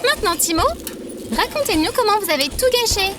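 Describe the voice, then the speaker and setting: A woman's voice: very high voice, animated, a little fast, very noisy. A woman voicing a cheeky, impatient companion who teases and interrupts in a fiction podcast. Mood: gushing, teasing. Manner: teasing, interrupting, exclamatory.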